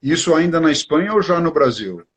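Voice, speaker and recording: A man's voice: on the high side, expressive, fairly quick, husky. A man hosting a live interview, affable and prompting his guest with short affirmations. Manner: affable, frequent "uhum" and "sim". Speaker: interview host. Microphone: video call.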